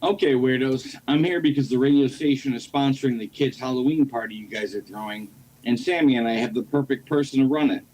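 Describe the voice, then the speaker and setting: A man's voice: mid-range voice, a little fast, darkish tone, close to an everyday voice, low-quality recording. A man playing a teasing, proud dad who works in radio, in an amateur audio play. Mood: breezy, teasing.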